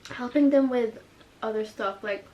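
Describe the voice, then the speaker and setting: A woman's voice: fairly high pitch, measured pace, lo-fi sound, slight background noise. A young woman, chatting casually while eating and chiming in with short, laid-back remarks. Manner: laid-back, brief interjections. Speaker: vlogger, younger sister.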